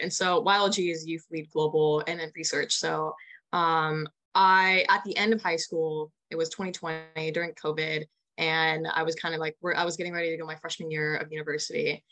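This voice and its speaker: Chatty young American woman, lowish pitch. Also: sharp tone, low-quality recording. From United States. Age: early 20s. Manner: enthusiastic, chatty, frequent "like". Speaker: interview guest, young nonprofit founder. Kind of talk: casual spoken interview answers.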